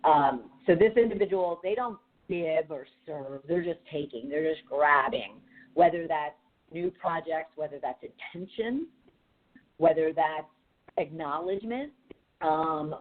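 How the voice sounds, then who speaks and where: A woman with a deep, raspy voice hosting a podcast as a leadership coach, speaking directly and challengingly with frequent fillers. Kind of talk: motivational podcast monologue. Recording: tinny phone-like audio.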